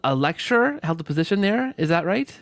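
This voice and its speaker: A man's voice: sits high, big pitch swings, fast talker, fairly bright tone, no echo. A man hosting a casual interview, rambling tentatively and often checking for agreement with tag questions. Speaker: podcast host and interviewer.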